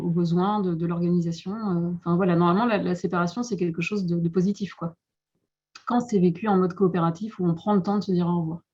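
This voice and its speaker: A woman's voice: on the low side, mellow. A woman answering questions in a webinar, thoughtful and hesitant, often restarting her sentences over a video call. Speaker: guest speaker. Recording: dull lo-fi call audio.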